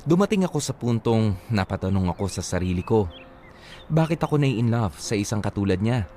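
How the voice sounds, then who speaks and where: A man's voice: on the low side, a little mellow, slight background noise. A Filipino man co-hosting a radio love-story show, talking and reading casually. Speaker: radio DJ co-host.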